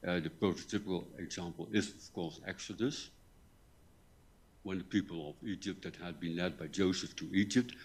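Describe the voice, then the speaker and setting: An older man speaks as a visiting lecturer in a low, soft voice. He goes carefully and haltingly, often pausing and repeating words as he addresses a university hall from his seat at a microphone. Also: slightly rough. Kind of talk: conference lecture in English. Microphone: table microphone, seated.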